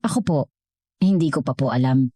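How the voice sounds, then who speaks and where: A woman's voice: very deep voice, a little fast, warm tone, no room sound, studio quality. Woman voicing an earnest, polite teenage shoeshine boy. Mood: humble.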